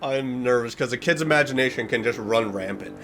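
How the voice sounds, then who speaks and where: A man's voice: mid-range voice, a little fast, fairly bright tone, soft background music. A man giving casual reaction commentary, reading aloud and reacting expressively, drawing out words for emphasis. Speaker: reaction video host.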